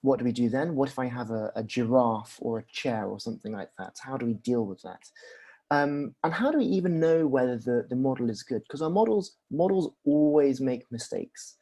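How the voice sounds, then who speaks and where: A man's voice: mid-range voice, lively intonation, fairly quick, warm tone. A young man giving a conversational lecture, full of ums and restarts and rhetorical questions.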